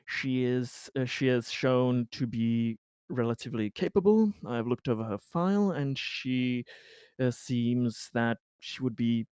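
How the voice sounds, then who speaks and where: A man playing a tabletop roleplaying game, improvising an advisory report in a hesitant, halting manner. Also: mid-range voice, animated, a little mellow, smooth, dry recording.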